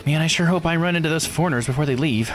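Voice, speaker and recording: A man voicing an excitable, nosy island woman from a visual novel in a gushing, exclamatory manner. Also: on the high side, very fast, faint music behind, voice pushed up, close to an everyday voice. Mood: eager.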